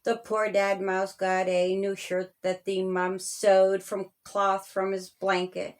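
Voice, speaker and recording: A woman reading her own rhyming children's story aloud in a deliberate, singsong storybook manner. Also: mid-range voice, completely flat delivery.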